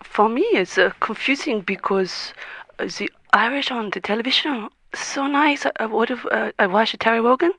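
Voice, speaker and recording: A woman's voice: on the high side, fairly bright tone, no echo. A woman playing a sad French au pair. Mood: plaintive. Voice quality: broken English, halting. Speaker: caller. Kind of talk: improvised comedy call-in.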